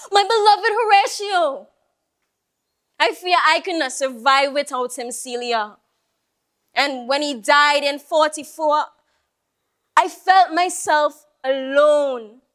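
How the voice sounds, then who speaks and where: Stage monologue casting: actress to play a weary, proud old Jamaican Creole woman, strikingly high voice, drawn-out lamenting delivery. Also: a bit bright. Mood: grieving. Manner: slow, lamenting, rhetorical, with sighing exclamations. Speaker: monologue performer. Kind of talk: theatrical dramatic monologue.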